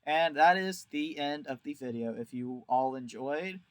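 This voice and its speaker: A man's voice: on the high side, expressive, measured pace, dry recording. A man giving a casual, rambling video review as host, with a crude, jokey, exaggerated way of talking.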